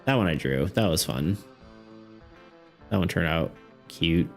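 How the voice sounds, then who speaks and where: A man's voice: low voice, animated, a little slow, gravelly. A young man streaming casually about art and game design, rambling with lots of fillers and laughs, saying he is losing his voice. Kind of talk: casual live-stream chat. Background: faint background music.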